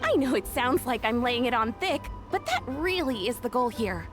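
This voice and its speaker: A woman voicing an excitable young female promoter in a video game, theatrical and effusive, always selling. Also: a little high, normal speed, over music, natural voice. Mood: grand pitch. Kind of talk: video game character acting.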